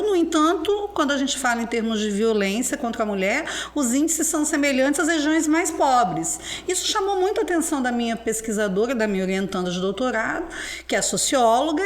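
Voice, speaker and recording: A middle-aged woman, speaking as a guest historian. Her voice sits a little high. She tells stories in a lively, laughing way and keeps checking in with tag questions. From Espírito Santo, Brazil. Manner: animated, laughing storyteller with frequent tag questions. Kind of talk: casual chat.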